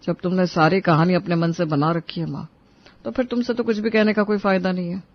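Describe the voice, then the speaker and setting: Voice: medium pitch, fairly even intonation, a little fast, muffled recording, natural voice. A performer voicing a sulky hot-tempered young husband. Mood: stubborn. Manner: sulky, irritable.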